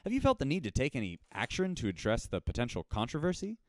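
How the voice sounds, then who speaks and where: An actor playing a chatty, upbeat radio host aboard a starship in an audio drama. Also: male voice, mid-range voice, huge pitch swings, fast, dry recording, studio quality.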